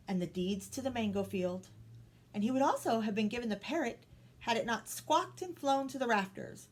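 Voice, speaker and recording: A woman reading a children's story aloud as a storytime host. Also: a little high. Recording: some background noise.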